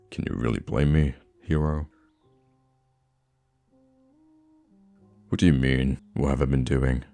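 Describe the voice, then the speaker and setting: A man playing a theatrical, easily flustered supervillain with a soft spot for his hero nemesis, in an ASMR roleplay monologue with a gravelly voice. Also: rumbling low, exaggerated voice. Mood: smug. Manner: dramatic, flustered, teasing, stammering when thrown off.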